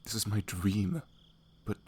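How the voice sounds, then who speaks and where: A man's voice: lowish voice, a little slow, a little mellow, a little hiss or hum, natural voice. A man playing a devoted, protective young knight in love, tender and hesitant, in a romantic fantasy ASMR roleplay. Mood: tender, worried.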